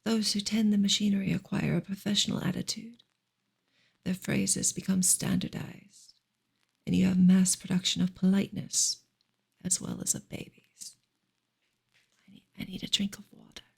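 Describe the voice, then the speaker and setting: A woman reading a novel aloud as soft-spoken ASMR, in a hushed, breathy voice that often drops to a whisper. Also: medium pitch, little pitch movement, moderate pace, pauses often.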